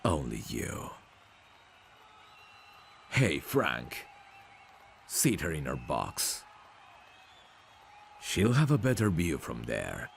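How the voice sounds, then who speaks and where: A man's voice: extremely low pitch, wildly swooping pitch, extremely slow, creaky, long pauses, slight background noise, full character voice. A man playing a cocky, smug prizefighter in an ASMR roleplay audio drama, with a boastful, teasing, self-assured manner. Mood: smooth, teasing. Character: cocky, smug male prizefighter, proud of his looks. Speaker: voice actor.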